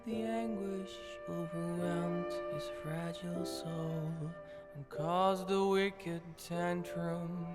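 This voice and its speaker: A woman performing a sorrowful storyteller in a dark, sung storybook lullaby. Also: on the low side, steady tone, slow pace. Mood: mournful. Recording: long hall reverb under prominent music. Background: lullaby music.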